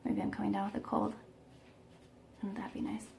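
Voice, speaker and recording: A woman giving a casual makeup tutorial, chatty and self-deprecating, a bit croaky today, filming herself at home. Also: medium pitch, flat delivery, moderate pace, warm tone, a little hiss or hum. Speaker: beauty vlogger.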